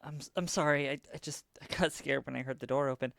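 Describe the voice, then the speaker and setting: A man's voice: medium pitch, fairly even intonation, quick pace, vocal fry, fairly bright tone, dry recording, clean studio sound. A man playing an anxious, skeptical and worried friend in an audio drama, hesitant and stammering as he talks. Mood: nervous, confused.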